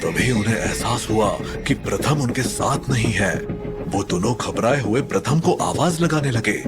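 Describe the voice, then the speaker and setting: A man's voice: mid-range voice, expressive, normal speed, loud music behind the voice. A man narrating a scripted horror story in a dramatic, suspenseful manner.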